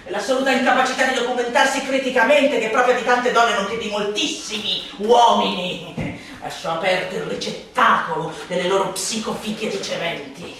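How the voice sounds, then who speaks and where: A woman performing a satirical theatrical monologue in a declamatory, sardonic manner that swings between hushed and shouted delivery. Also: mid-range voice, steady tone, moderate pace, few pauses. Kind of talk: theatrical radio recitation of a satirical pamphlet. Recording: echoey hall, distant mic, noisy.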